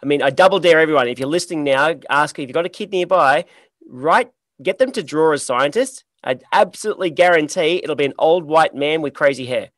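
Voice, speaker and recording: A man hosting a podcast interview, talking in a lively, emphatic, conversational way. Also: fairly high pitch, fairly quick, dry recording. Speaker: podcast host.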